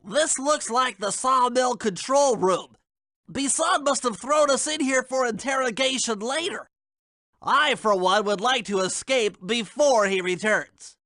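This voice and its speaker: A man voicing a small, brainy, fussy male turtle in a video game, with a high, pinched, precise delivery. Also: a little slow, pushed and bright, no room sound, studio quality, full character voice. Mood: earnest, scheming. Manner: precise, wordy, bookish. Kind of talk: video game character voice acting.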